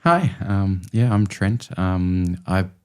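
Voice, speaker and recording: A man from Australia with an Australian accent, a relaxed interview guest who hesitates with frequent ums. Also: low voice, slow pace, smooth. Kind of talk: casual interview chat.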